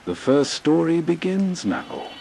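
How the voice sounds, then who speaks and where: A man hosting with atmospheric, scripted narration, soothing and full of storyteller pauses. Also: a little high, big pitch swings, warm tone, a little hiss or hum. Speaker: host introducing old radio stories.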